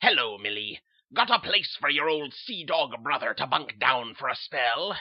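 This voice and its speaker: A man voicing a gruff, bullying old retired sea captain who barks orders in salty seaman's slang. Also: medium pitch, expressive, normal speed, no echo, dull audio. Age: older. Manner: bellowing pirate-style seaman's talk.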